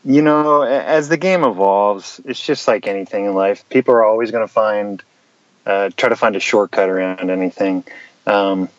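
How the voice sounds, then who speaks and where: A man's voice: on the low side, normal speed, no room sound. An American man answering interview questions in an easygoing way with many fillers. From United States. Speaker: podcast guest, former player. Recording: dull, dry sound.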